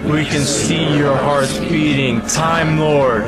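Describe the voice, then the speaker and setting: Undead soldier villain for audio drama, male actor, unhurried, toneless, menacing, loud score behind. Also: a little high, steady tone, over loud music. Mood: cold menace.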